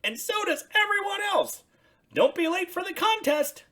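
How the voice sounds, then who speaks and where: Woman as big storybook bird, very high, loud, eager, friendly, gushing. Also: animated, moderate pace, exaggerated voice. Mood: eager, excited.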